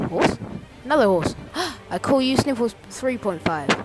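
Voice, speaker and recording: A man giving casual, rambling let's-play commentary, playful and easily excited. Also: extremely high pitch, unhurried, poor audio. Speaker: gameplay commentator. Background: game music.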